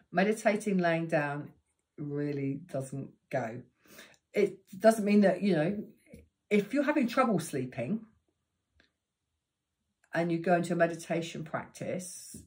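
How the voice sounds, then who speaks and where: A woman in her sixties with a croaky voice, giving practical meditation tips to the camera in a chatty, unhurried way from her living room. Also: lowish voice, a little mellow. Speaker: meditation teacher. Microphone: camera in front of her.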